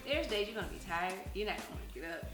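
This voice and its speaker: A woman co-hosting a casual chat show, talking with lots of energy and a soft, soothing side she brings out on purpose. Her voice sits at a middle pitch. It rings in a roomy space, with music clear in the background. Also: rapid-fire. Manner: animated, switches into soft soothing voice. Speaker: podcast co-host.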